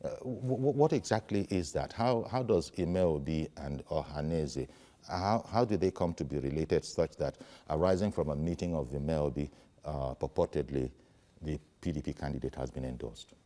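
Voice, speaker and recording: Male news host, extremely deep voice, dark tone, probing questions, long studio reverb. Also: moderate pace. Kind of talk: morning news interview.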